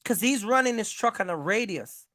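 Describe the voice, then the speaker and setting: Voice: mid-range voice, animated, normal speed, fairly bright tone, no room sound, clean studio sound. An American livestream host giving informal business advice, speaking emphatically, repeating points and checking in with listeners.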